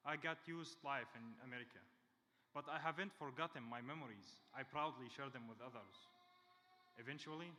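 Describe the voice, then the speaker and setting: A man's voice: mid-range voice, pushed and bright. Young man giving a graduation speech. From Syria. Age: 20s. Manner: earnest, reading from a script. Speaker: graduation speaker. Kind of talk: prepared speech. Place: large hall. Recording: reverberant hall with faint ambience.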